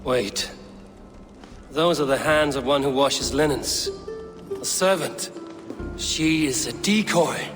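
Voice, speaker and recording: An actor playing a shrewd, bold Viking raider in a video game, with a terse, sardonic delivery. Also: male voice, mid-range voice, slow pace, pauses often, a bit bright. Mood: alert. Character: shrewd, dry-witted male Viking raider, bold and unyielding. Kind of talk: dramatic video game cutscene acting. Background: music.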